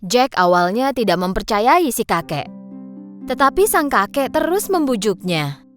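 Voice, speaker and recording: Children's fairy-tale narrator: female, lively swooping intonation, fairly high, faint music behind. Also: measured pace.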